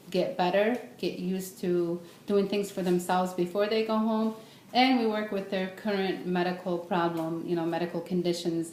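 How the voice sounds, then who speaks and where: In an echoey room with some background noise, a middle-aged woman gives an informal speech about herself. Her voice sits fairly low, and she speaks haltingly, pausing often to find the next thought. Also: a little mellow. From born in Beirut, raised in the United States. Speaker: student speaker, registered nurse. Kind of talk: informal self-introduction speech.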